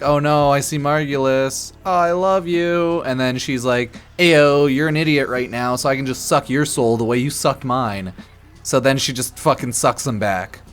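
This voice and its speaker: A slightly drunk man giving casual, sarcastic game commentary on a stream, blunt and quick to swear. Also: medium pitch. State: a bit drunk.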